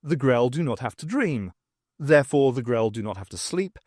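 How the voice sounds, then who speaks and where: A man reading a science fiction novel aloud as scripted, expressive audiobook narration. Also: medium pitch, normal speed, no echo, studio quality. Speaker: audiobook reader.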